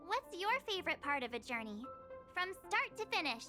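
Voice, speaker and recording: Perky, very high-pitched teenage girl. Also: sing-song, moderate pace, music in the background. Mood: cheerful. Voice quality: girlish. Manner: bubbly, eager. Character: perky, curious teenage girl space traveller. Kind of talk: anime-style game voice acting.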